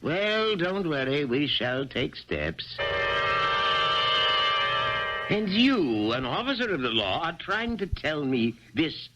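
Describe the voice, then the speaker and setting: A pompous, self-important old general from a radio drama. He blusters and hems at an unhurried pace in a fairly high male register, on a dull vintage broadcast with music underneath. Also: big pitch swings. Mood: blustering indignation. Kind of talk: 1970s radio drama acting.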